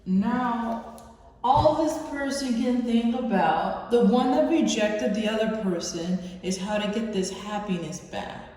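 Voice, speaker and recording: A woman host giving an intuitive love reading off the cuff, halting and drawn out, with pauses. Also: on the high side, expressive, a little mellow. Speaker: tarot reader, channel host. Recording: very echoey room, faint background noise.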